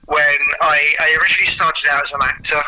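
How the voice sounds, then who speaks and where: Very bright-voiced British man, chatty. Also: fairly high pitch, steady tone, fairly quick, slight background noise, poor audio. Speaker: interview guest, audio drama producer and writer. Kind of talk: casual anecdotal interview chat.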